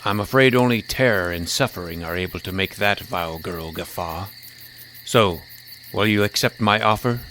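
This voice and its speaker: Greedy trickster jester, acted by a man, showy and teasing, on the low side, for a fantasy audio story. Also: normal speed, fairly bright tone, slight background noise. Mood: grand, bargaining. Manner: showy, teasing, savouring words. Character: sly, theatrical male jester, greedy trickster.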